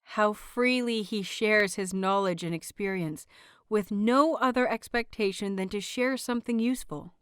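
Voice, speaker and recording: A woman's voice: mid-range voice, normal speed, fairly bright tone, clear, resonant tone, no echo, studio quality. A woman reading a scripted story aloud as the narrator of an audio fiction series.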